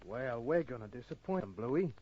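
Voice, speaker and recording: Husky hard-boiled detective. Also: male voice, mid-range voice, animated, normal speed, a little mellow. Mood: hard, determined. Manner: hard-boiled, dry, clipped. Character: tough, flirtatious wisecracking male private detective. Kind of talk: old-time radio crime acting and narration. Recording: muffled old radio recording.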